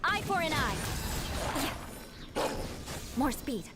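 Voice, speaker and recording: Regal warrior ruler, slow stern delivery, high voice, commanding, game character, loud battle noise. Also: female voice, very animated, full character voice. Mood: fierce battle cries. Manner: formal, measured, stern. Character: stoic regal female shogun, commanding, weakened yet proud. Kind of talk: video game voice acting.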